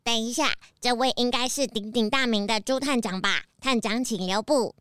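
A woman's voice: fairly high pitch, normal speed, fairly bright tone, slight background noise, lightly acted. A woman playing a polite, easily flustered sheep store manager who bleats as she talks, in a children's audio drama. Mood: polite, eager. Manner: bleats before speaking.

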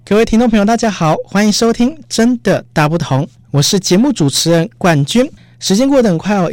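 Lively Taiwanese radio host with animated pitch. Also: dry recording. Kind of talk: radio hosting, chatty announcement to listeners.

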